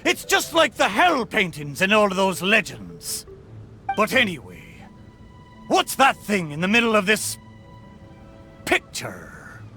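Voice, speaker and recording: A man's voice: high voice, huge pitch swings, takes it slowly, pauses often, bright, forceful tone, faint music behind, full character voice. A man voicing a boastful, good-humored spirit of an old pirate ship in a video game, talking in hearty, swaggering pirate style. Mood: defensive. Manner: hearty, bragging, swaggering pirate talk with "arr". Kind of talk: video game character acting.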